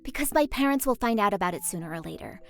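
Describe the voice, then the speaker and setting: A woman's voice: fairly high pitch, animated, fast, fairly bright tone, soft background music. An actress playing a moody, lonely teenage girl in a visual novel, wry and confiding. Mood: guarded. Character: moody, lonely, teasing teenage girl, secretly soft-hearted.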